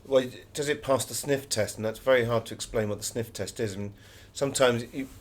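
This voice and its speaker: A man's voice: medium pitch, brisk. A man giving conversational interview answers as an author, full of anecdotes.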